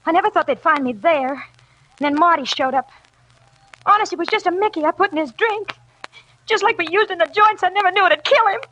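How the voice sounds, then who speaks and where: A woman's voice: very high-pitched, a little fast, bright, forceful tone. An actress playing a frightened, streetwise gangster's moll on the run in a 1940s radio crime drama, talking in slangy tough-girl diction on a noisy old recording. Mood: pleading.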